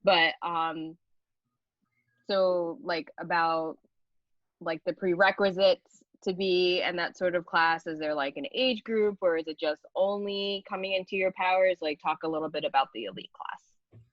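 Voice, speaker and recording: A woman's voice: on the low side, measured pace, dry recording, dull audio. A woman interviewing someone in a casual, chatty style.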